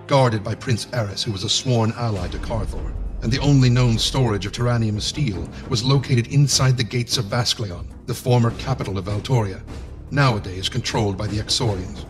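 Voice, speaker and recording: A man narrating a scripted fantasy game story in a measured, solemn storytelling manner. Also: medium pitch, over music, lightly acted.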